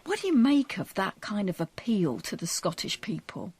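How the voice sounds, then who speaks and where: A woman's voice: medium pitch, sing-song, normal speed, darkish tone, breathy edge, no room sound. A woman presenting a radio history documentary, moving between scripted narration and interview questions.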